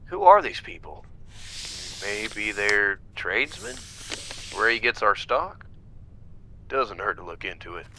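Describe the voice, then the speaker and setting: A man's voice: lowish voice, big pitch swings, takes it slowly, long pauses, noisy background, exaggerated voice. An actor playing an earnest, inquisitive man investigating a mystery in an audio drama. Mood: puzzled, curious. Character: earnest, inquisitive man searching a murdered tavern keeper's home. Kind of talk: narrative podcast acting.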